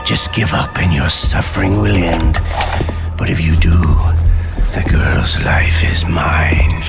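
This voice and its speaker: A man playing a cold, gloating middle-aged interrogator in a video game, taunting and menacing in a deliberate delivery. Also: low voice, creaky, whispered, full character voice. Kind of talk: dramatic video game voice acting. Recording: music under the voice.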